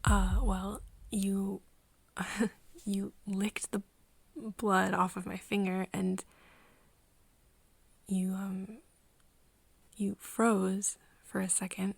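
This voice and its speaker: A woman playing a caring, flustered young woman in an ASMR roleplay, with a soft, breathy voice and a tender, stammering manner. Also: medium pitch, flat delivery, very slow, long pauses, no echo, heavily acted. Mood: tender, hesitant. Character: caring, flustered young woman soothing her vampire friend.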